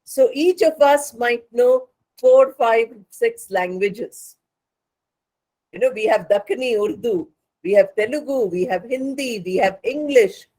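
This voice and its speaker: A woman teacher with an Indian accent and Bengali mother tongue speaks in a fairly high voice at a slow, measured pace. She lectures learners in a didactic way, repeating points for emphasis. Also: dark tone, rough recording. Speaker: spoken English teacher.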